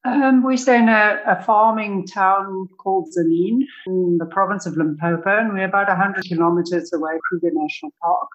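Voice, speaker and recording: An older woman from Tanzania chats as a podcast guest, her stories wandering off and circling back. She talks with eager enthusiasm and laughs now and then, at a medium pitch. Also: steady tone, fairly quick, few pauses, dull audio. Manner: enthusiastic, rambling, laughs often. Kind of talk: casual interview storytelling.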